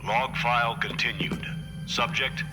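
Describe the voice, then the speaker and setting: An actor playing an authoritative grandfatherly investigator dictating a formal, measured log report in a video game. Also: medium pitch, fairly even intonation, loud music behind the voice, lightly acted, low-quality recording. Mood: grave, official.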